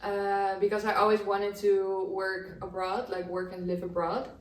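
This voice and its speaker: A Dutch woman in her twenties, co-hosting a casual podcast chat, hesitant and quick to laugh. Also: medium pitch, completely flat delivery. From Netherlands. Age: 20s. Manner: laughing, hesitant, with many "um" and "yeah". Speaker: podcast co-host. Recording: echoey room, mic at a distance.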